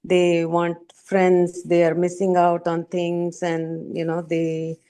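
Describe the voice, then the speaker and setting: Female participant, low mellow flat voice, thoughtful and hesitant; lo-fi call audio. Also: takes it slowly, smooth. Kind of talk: answering on a video call. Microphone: video call. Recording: dull lo-fi video call audio.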